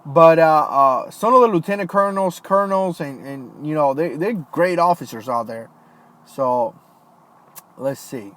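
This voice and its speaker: A man in his thirties talks loosely into a home mic, answering viewer comments on a livestream. His voice sits a bit high for a man. He peppers his talk with fillers and casual asides like "man" and "dude.". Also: measured pace, a bit bright. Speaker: livestream host, retired Marine. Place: home, Florida.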